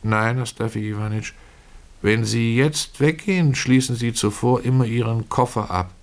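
A man's voice: mid-range voice, steady tone, pitched above the performer's own voice, close to an everyday voice. A man voicing a meek, pleading broken drunkard. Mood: tearful, hurt. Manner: pleading, halting, deferential. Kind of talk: German radio play reading.

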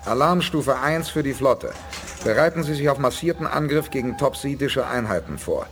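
A wry, self-assured space commander in a 1980s radio play. He speaks in a medium, highly animated voice, crisp and commanding, with music underneath. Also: normal speed. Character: confident, amused space commander, calm authority. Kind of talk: 1980s German radio drama acting.

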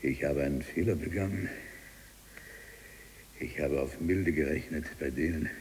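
An actor playing an embittered imprisoned libertine writer in a radio drama monologue, gravelly and breathy at times, brooding and deliberate in delivery. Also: male voice, a bass voice, a little mellow, slight background noise. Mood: bitter, confiding.

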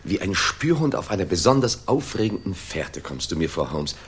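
An actor playing a detective's loyal, eager and puzzled companion in a 1960s German radio drama, questioning and slightly stammering. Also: male voice, deep voice, sing-song, normal speed, slight background noise. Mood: eager, baffled.

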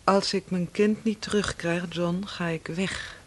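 A woman's voice: lowish voice, steady tone, unhurried, natural voice. An actress playing an overwrought, sarcastic wife and desperate mother in a Dutch radio play. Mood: resigned, desperate. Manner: sarcastic, taunting, emotional.

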